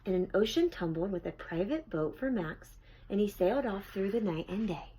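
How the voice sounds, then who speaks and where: A woman reading a children's picture book aloud in an expressive storytelling style. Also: mid-range voice, normal speed, slightly rough, rough recording. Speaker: storybook reader.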